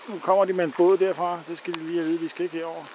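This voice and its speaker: A man's voice: a little high, level tone. A man with a Danish accent giving casual live commentary as he films a fishing trip. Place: outdoors on a grassy riverbank. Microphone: camcorder held by the speaker. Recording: noisy outdoor camcorder audio, rushing water.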